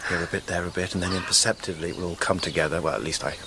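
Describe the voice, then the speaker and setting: An actor playing a wry, weary war veteran, reflective and polite, in a radio drama. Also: male voice, sits low, steady tone, racing, heavy background noise. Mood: tired, polite.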